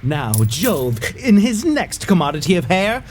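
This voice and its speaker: A man's voice: high voice, sing-song, measured pace, a little mellow, slight background noise. A man playing an impudent, riddling jester in a Shakespeare audio play, with a teasing, lilting, theatrical delivery. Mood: impish, mocking. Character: impudent, riddling male jester, a wordplaying fool.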